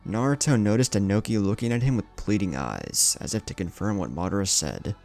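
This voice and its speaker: A man's voice: fairly low pitch, expressive, a little fast, darkish tone, faint music behind. A man reading a dramatic scripted story as its narrator. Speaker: fan fiction narrator.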